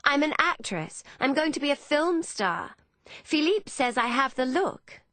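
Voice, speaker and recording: A woman's voice: a little high, very animated, takes it slowly, bright, forceful tone, slightly rough, dry recording, pitched above the performer's own voice, full character voice. A woman voicing a defiant, pouting seventeen-year-old would-be actress in an audiobook, with a girlish dramatic manner. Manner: petulant, triumphant, girlishly dramatic.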